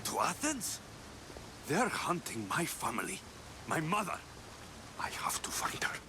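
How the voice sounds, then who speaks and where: A man's voice: a little high, huge pitch swings, measured pace, whispered. A man playing a brooding, hot-tempered Greek mercenary in a video game, blunt, skeptical and impatient. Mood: troubled, doubtful. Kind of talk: dramatic video game acting.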